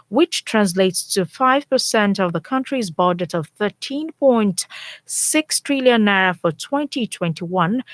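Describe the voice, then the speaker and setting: A woman presenting radio news from a studio. Her voice sits at a middle pitch and is read in a measured, formal manner. The sound is close and dry, with no room in it. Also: dry recording. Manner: steady formal read with occasional fillers. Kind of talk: radio newsreading and studio interview.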